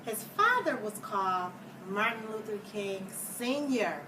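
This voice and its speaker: A woman reading a children's picture book aloud like a schoolteacher, deliberately, with explanatory asides for young listeners. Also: mid-range voice, sing-song. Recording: distant mic, clear background noise.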